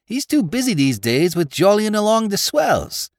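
A man voicing an excitable, slow-witted male crook from the Bowery who talks in tough street slang. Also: sits high, no room sound, clean studio sound, pitched above the performer's own voice, exaggerated voice. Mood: wheedling.

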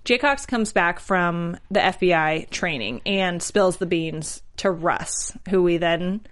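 A woman's voice: fairly low pitch, slow pace. A woman hosting a casual, chatty episode recap, peppering it with "like" and acting out characters' lines in quotes. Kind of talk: casual chat.

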